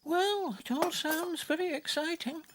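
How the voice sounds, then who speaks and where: A man voicing a cheerful, homely little country mouse in a storybook cartoon, excitable and repeating himself. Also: very high voice, unhurried, background noise. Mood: astonished. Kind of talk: animated storybook cartoon acting.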